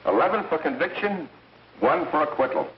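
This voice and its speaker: A man's voice: fairly high pitch, expressive, moderate pace, close to an everyday voice. An American actor playing a hard-nosed, unyielding jury foreman in a 1930s film, blunt and insistent. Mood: firm, dismissive. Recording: muffled, roomy, noisy 1930s film soundtrack.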